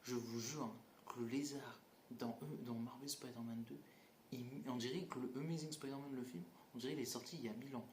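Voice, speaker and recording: A man's voice: mid-range voice, steady tone, brisk, a little mellow. Male reviewer, casual blunt delivery, mocking asides, roomy echo with faint background noise. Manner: blunt, mocking, many fillers and restarts. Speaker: movie reviewer. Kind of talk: casual spoken film critique.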